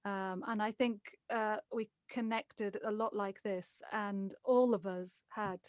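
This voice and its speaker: A woman's voice: mid-range voice, fairly even intonation, slow pace, no echo, clean studio sound. A woman, a voice actor and producer, chatting casually and jokingly in a group interview over a video call. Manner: joking, self-deprecating.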